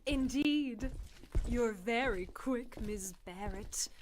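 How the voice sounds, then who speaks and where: A woman's voice: a little high, slow, slight background noise. A woman playing a genteel, theatrical guide of a sinister prison circus in an audio drama, with a grandly formal delivery. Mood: smoothly gracious.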